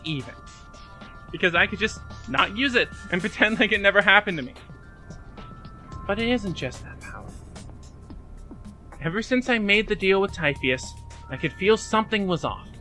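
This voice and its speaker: A man performs an anguished young man in an audio drama, with a high, bright and forceful voice. He rambles emotionally and doubts himself, with music playing behind him. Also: heavily acted. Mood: frustrated, then uneasy, subdued. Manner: emotional, rambling, self-deprecating. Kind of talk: fan audio drama acting.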